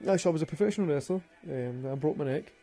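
Gravelly Glaswegian man telling stories. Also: fairly high pitch, expressive, rapid-fire, dark tone, soft background music. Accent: Glaswegian Scottish. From Glasgow, Scotland. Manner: rambling, sweary, laughs at his own stories. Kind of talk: casual storytelling monologue.

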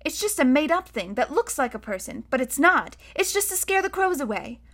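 A woman's voice: sits high, sing-song, fairly quick, fairly bright tone, voice pushed up. A woman voicing a small, outspoken, stubborn girl in a storybook, childlike and emphatic. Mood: explaining.

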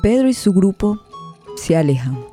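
A woman's voice: medium pitch, very animated, normal speed, music in the background. A woman narrating a scripted radio drama in a dramatic storytelling style.

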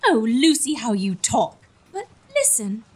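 A woman playing a lovestruck, gently chiding young maiden in a gothic comedy audio drama, with theatrical old-fashioned diction. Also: a little high, very animated, unhurried, slightly rough, heavily acted. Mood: lovelorn.

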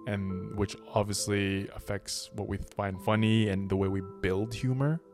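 A man's voice: lowish voice, normal speed, soft background music. Laid-back man with a Chinese first language. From Canada. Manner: laid-back, animated when joking. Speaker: podcast co-host. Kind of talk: casual chat.

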